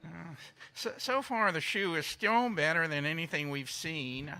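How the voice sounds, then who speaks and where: Man acting a bright, lively house-hunting husband. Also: fairly high pitch, measured pace, clear, resonant tone. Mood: hopeful. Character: cautious but hopeful husband house-hunting, named Cole. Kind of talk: scripted stage parody dialogue.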